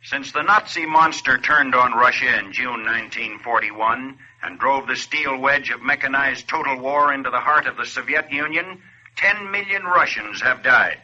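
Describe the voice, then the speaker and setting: A man's voice: mid-range voice, normal speed, pushed and bright. A man playing a grave wartime announcer, delivering solemn, declamatory 1940s radio narration. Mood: grave outrage. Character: grave, forceful male wartime appeal announcer. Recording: muffled vintage radio recording.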